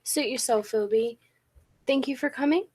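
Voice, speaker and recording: A woman playing a confident, eccentric professor and inventor in a 1940s-style radio play, speaking in an assured, deliberate way. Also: high voice, darkish tone, slight background noise, close to an everyday voice. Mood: cool, matter-of-fact.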